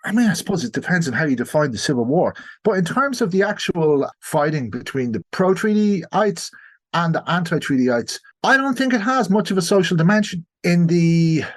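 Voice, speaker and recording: Irish man, slightly husky voice, guest historian, unscripted discussion, explanatory, careful qualifications. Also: on the high side, lively intonation, moderate pace. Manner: explanatory, qualifies points as he goes.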